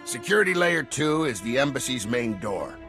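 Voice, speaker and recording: An actor playing a gruff, world-weary security chief in a video game, giving terse, folksy briefings. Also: male voice, mid-range voice, very animated, moderate pace, over music. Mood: wry, matter-of-fact.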